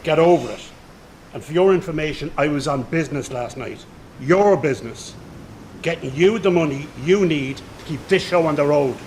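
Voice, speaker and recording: A man's voice: a little high, moderate pace, a little mellow, slight background noise, lightly acted. A man playing a sly, insolent circus hand in a radio drama, with a mocking, smug way of talking. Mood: defiant. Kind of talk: naturalistic radio drama acting.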